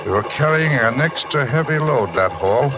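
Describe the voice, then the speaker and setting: A man's voice: mid-range voice, expressive, moderate pace, close to an everyday voice. An actor playing a folksy middle-aged American railroad man who justifies himself talkatively, in old-time radio drama style. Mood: haunted, intense. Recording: noisy, hissy vintage radio broadcast.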